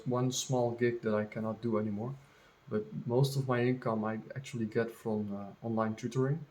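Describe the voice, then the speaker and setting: A Dutch man in his thirties, a native Dutch speaker, talks to his channel in English at an unhurried, slow pace. He keeps stopping for ums and starts his sentences over. His voice sits at an ordinary middle pitch for a man. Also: darkish tone. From Netherlands. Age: 30s. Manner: halting, many ums and restarts. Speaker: vlogger. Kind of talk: casual unscripted monologue.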